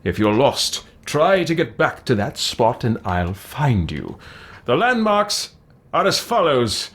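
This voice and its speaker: A man reading aloud as a loving father writing to his son in a video game, with measured, deliberate phrasing. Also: medium pitch, huge pitch swings, a bit bright, breathy edge, heavily acted. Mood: fond, instructive.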